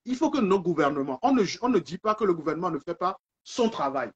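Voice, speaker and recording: A man's voice: high-pitched, animated, fast, breathy edge, highs cut off. A man from Togo joining a live debate, insistent and repeating his points.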